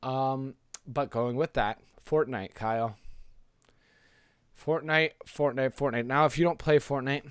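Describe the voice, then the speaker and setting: Dude cohosting a chatty podcast, average pitch, super informal, dry sound with no room echo. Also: male voice, fairly even intonation, measured pace, smooth. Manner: informal, rhetorical tag questions. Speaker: podcast co-host. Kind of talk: casual conversational podcast.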